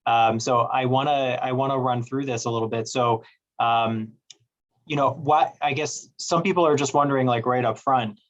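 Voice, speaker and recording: A man's voice: mid-range voice, steady tone, moderate pace. A man hosting a medical webinar, asking questions in a loose, chatty way with plenty of fillers over a video call. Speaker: webinar host, doctor. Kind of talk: casual moderating of a medical webinar.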